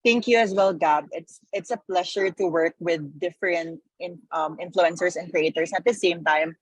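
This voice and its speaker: A man's voice: sits high, steady tone, slightly rough, no room sound, low-quality recording. A man from the Philippines, a guest speaker talking earnestly in a panel discussion with frequent fillers. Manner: earnest, measured, uses fillers.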